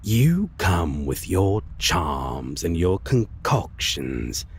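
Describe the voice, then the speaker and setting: A man playing a taunting, menacing vampire in a dark fantasy audio roleplay, speaking deliberately and mockingly, at times in breathy whispers. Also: very animated, heavily acted. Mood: scornful. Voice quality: gravelly, low. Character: taunting, menacing male vampire, a lost love turned monster.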